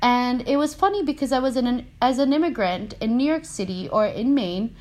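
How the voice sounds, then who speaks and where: A woman in her thirties from the Philippines with a Filipino-American accent, speaking thoughtfully with many fillers and pauses as a poet. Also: a little high, animated, darkish tone. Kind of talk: casual spoken reflection.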